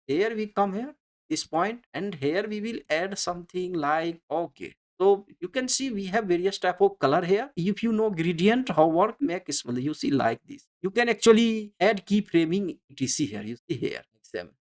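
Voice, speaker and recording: Halting software tutorial instructor with non-native English. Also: medium pitch, animated. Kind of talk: unscripted screen-recorded step-by-step tutorial narration.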